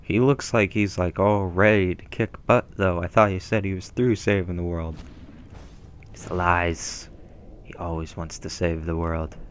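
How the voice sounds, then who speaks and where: A man's voice: low voice, fairly even intonation, measured pace, slight background noise. A young man giving casual, rambling commentary over a video game through a headset microphone, full of ums and asides. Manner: rambling, filler-heavy, self-deprecating. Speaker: gameplay commentator. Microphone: gaming headset mic.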